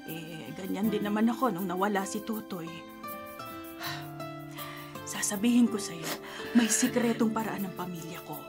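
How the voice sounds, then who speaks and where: A woman's voice: mid-range voice, moderate pace, pauses often, close to an everyday voice. A woman playing a caring middle-aged friend who consoles and reassures in a radio drama. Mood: consoling. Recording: music playing underneath.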